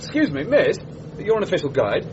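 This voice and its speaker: An actor playing a well-spoken English gentleman, polite and wryly observant, with an English accent. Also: high voice, huge pitch swings, brisk, noisy background. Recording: dull band-limited sound with music behind.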